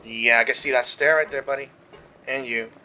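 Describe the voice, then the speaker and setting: A woman's voice: very deep voice, steady tone, normal speed, pushed and bright, slight background noise. A woman giving casual gameplay commentary with wry, offhand asides. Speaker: gaming video creator. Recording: dull lo-fi microphone, faint music behind. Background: faint game music.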